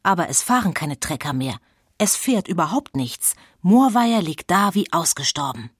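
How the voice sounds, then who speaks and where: A woman playing the wry storyteller of a children's radio play, narrating in a husky voice. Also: fairly low pitch, sing-song, moderate pace, no echo, studio quality. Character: wry female storyteller of a spooky children's tale.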